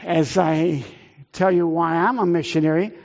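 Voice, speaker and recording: A middle-aged man raised in Italy with Italian as his first language, speaking earnestly to a church as a missionary, halting often on fillers. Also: a little high, fairly even intonation, creaky, a little mellow, muffled recording.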